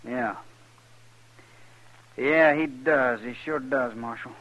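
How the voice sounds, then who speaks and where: An actor playing a troubled, defensive young drifter in a 1950s radio western, halting and plain-spoken with a rural American drawl. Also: male voice, medium pitch, a bit bright, muffled recording. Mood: sombre, yielding.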